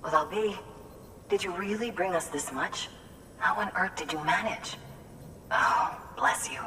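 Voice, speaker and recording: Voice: mid-range voice, a little slow, bright, forceful tone. An actor playing a friendly, grateful farm settler in a game cutscene, speaking in a folksy, appreciative way. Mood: amazed, grateful. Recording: distant, faint background noise.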